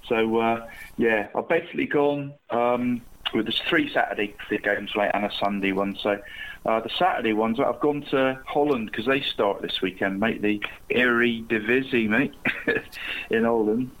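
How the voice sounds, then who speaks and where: Bloke co-host, banter-heavy, mid-pitched, says mate every line. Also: male voice, normal speed, fairly bright tone, poor audio. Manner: says "mate" constantly, laughs often. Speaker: podcast co-host. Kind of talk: casual football chat.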